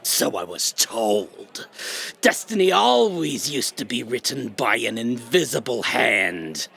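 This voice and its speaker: A pompous, aggrieved little villain in a sci-fi audio comedy. His voice is raspy and forceful, swooping theatrically as he drawls out his sneering grievances. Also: mid-range voice, very animated, takes it slowly, heavily acted. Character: invisible busboy, self-important aggrieved would-be villain writer.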